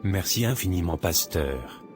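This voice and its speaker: Man as a sincere young cartoon hero, very deep voice, clear music behind. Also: expressive, a little slow. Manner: earnest, sincere. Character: earnest young man, a lawyer. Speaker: narrator and protagonist.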